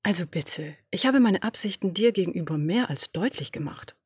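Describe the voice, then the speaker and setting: A woman voicing an imperious, domineering female boss who talks in brisk, commanding, dismissive orders. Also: fairly low pitch, animated, husky, dry recording, studio quality. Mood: impatient, imperious. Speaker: podfic reader. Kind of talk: German audio drama reading.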